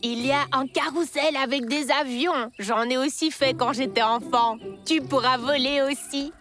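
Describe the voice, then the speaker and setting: Gentle, doting cartoon grandmother. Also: high-pitched, steady tone, normal speed, soft background music. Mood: tender. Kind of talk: animated children's series dub.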